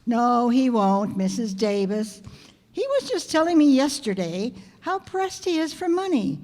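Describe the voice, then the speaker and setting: The sound rings with the long reverb of a hall. A woman acts out a schoolteacher from an old-time radio comedy, wry and quick with a wisecrack. Her voice sits a bit high and swings widely, while the delivery stays dry and sardonic. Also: sing-song, a little mellow. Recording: reverberant hall stage recording.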